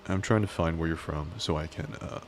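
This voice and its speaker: Deep-voiced joking male streamer. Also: fairly quick, noisy background. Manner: rambling, joking, self-deprecating. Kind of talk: casual live-stream chat.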